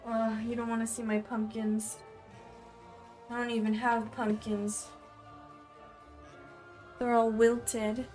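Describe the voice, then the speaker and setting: Young woman rambling on a home art stream, chatty, soft music in the back. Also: a little high, little pitch movement, slow pace, pauses often. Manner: rambling, chatty, self-deprecating. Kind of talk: casual live-stream chat. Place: home room in Japan.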